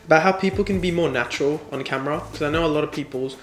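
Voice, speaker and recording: A man's voice: on the high side, very fast, fairly bright tone. A man off camera asking friendly, casual questions in an interview, laughing easily. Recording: roomy studio with music underneath.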